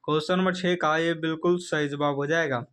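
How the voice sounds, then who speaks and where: A man's voice: fairly high pitch, steady tone, fairly quick, a bit bright, clean tone. A man giving a quiz lesson as a coaching teacher, reading questions and answers in an emphatic, repetitive instructional way.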